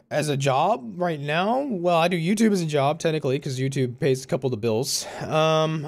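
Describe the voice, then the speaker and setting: Young Californian man, slightly higher voice. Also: a little mellow. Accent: Californian American. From Concord, California, USA. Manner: rambling, informal, self-correcting, frequent "you know" and "like". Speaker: YouTuber and university student. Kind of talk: casual personal vlog talk to viewers.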